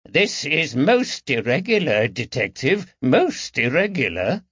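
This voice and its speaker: Man putting on a fussy, higher-pitched magistrate. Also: sing-song, slow pace, fairly bright tone, husky, no echo, highs cut off, full character voice. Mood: disapproving. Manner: pompous, indignant. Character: fussy, nervous, disapproving male magistrate.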